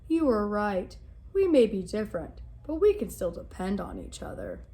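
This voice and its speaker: A woman's voice: mid-range voice, huge pitch swings, normal speed, warm tone. Woman doing a big boastful storybook lion. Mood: joyful. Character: big boastful male lion, king of the jungle.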